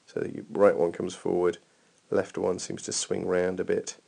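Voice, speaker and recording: A man's voice: low-pitched, completely flat delivery, moderate pace, gravelly, warm tone, slightly rough. A man giving informal running technique feedback over a training video as a coach, thinking aloud in a hesitant way.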